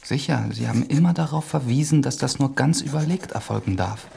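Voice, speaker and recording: An actor playing an earnest, pious priest with doubts in a German radio drama, measured and reflective, with a creaky voice. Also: male voice, mid-range voice, darkish tone, a little hiss or hum. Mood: hushed, troubled.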